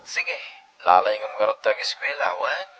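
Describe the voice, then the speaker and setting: A man's voice: lowish voice, big pitch swings, normal speed, sharp tone, no room sound, highs cut off, exaggerated voice. A man playing a loving, hardworking husband and father in an audio drama, earnest and affectionate. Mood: earnest, resigned.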